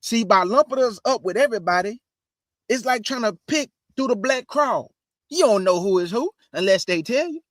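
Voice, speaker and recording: High-voiced preachy man, bone-dry sound. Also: animated, normal speed, a bit bright, no room sound. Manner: preachy, addresses audience as family. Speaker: host. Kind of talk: casual religious commentary.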